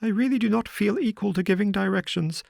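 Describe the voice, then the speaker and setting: A man voicing a nervous, easily frightened gentleman who pleads in a raised voice. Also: brisk, gravelly, no echo, clean studio sound. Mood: pleading. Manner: high anxious pleading.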